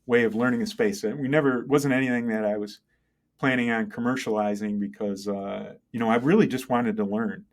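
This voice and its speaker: Middle-aged man talking haltingly in an interview. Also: medium pitch, steady tone. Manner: halting, thinking aloud, often checking with "right?" and "you know". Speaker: interview guest, tech entrepreneur and engineer. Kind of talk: conversational interview, telling career story.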